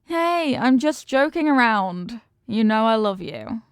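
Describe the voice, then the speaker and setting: A woman's voice: a little high, very animated, unhurried, clean tone, no echo, clean studio sound. A woman playing a confident, affectionate mafia boss in an intimate roleplay, teasing and streetwise, with slangy talk and pet names. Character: confident, doting female mafia boss, tough but affectionate. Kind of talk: intimate ASMR-style roleplay acting.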